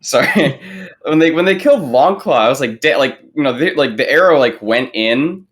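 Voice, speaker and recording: A man's voice: medium pitch, animated, moderate pace. A man chatting casually on a podcast panel, rambling along and leaning heavily on filler words. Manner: rambling, filler-heavy with frequent "like". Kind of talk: casual chat.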